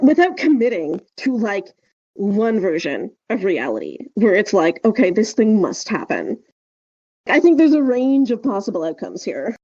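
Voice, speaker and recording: A woman's voice: a little high, warm tone, no echo. A woman co-hosting a podcast, chatting casually in an informal, rambling way. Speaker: podcast co-host. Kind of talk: casual conversational podcast chat. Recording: slightly dull, dry recording.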